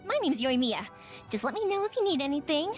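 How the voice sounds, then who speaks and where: Bubbly young firework maker girl, high-pitched, very animated, playful, talkative, video game character. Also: brisk, soft background music. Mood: bright, welcoming. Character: cheerful, chatty young woman who makes fireworks, warm and playful.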